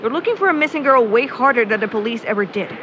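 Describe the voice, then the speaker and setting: Woman acting a high-voiced, animated, loyal friend. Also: quick pace, lightly acted. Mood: reassuring. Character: loyal, upbeat, sardonic friend, quick to reassure. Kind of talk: naturalistic audio drama acting. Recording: muffled, with clear outdoor urban noise.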